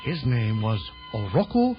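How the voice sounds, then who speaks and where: A gentle, aged rat sensei in a children's audio drama. His formal storytelling moves expressively over loud music, on a dull old tape recording. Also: mid-range voice, very animated, a little slow. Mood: solemn storytelling.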